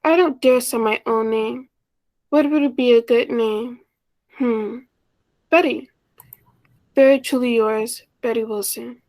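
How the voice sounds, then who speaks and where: A woman's voice: fairly high pitch, fairly even intonation, slow pace, long pauses, darkish tone, low-quality recording. A woman playing a shy, lonely, self-effacing older sister in a 1940s-style radio play, speaking wistfully and hesitantly. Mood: shy, hopeful. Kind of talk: 1940s radio play acting, first-person narration.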